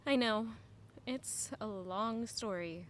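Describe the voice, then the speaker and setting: A woman's voice: medium pitch, slow pace, natural voice. An actress playing a guarded, wistful young lady-in-waiting in an audio drama, speaking earnestly and introspectively. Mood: apologetic. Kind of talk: audio drama narration and dialogue.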